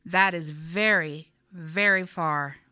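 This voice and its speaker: A big, loving father hare, tender and patient with his little one, as a woman reads him aloud. Slow, bright voice with a dry, close sound. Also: lowish voice, no echo.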